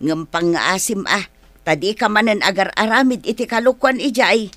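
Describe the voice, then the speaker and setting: An actress plays a suspicious, protective Ilocano mother in a radio drama. Her medium-pitched voice is questioning and grudging. It is recorded dry and close. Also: normal speed, fairly bright tone, dry recording, natural voice. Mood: grudging, cautioning. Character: wary, protective middle-aged mother.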